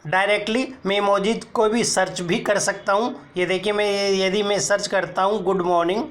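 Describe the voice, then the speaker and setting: A man. He goes one step at a time, pausing to point things out and telling viewers to look here. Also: sits high, fairly even intonation, a bit bright. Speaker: tech tutorial presenter. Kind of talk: screen-recorded how-to explanation.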